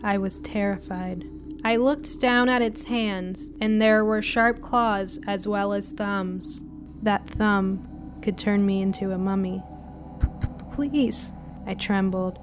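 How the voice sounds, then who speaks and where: A woman reading a scripted spooky short story as narrator, in a dramatic, deliberate way. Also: medium pitch, darkish tone. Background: music bed.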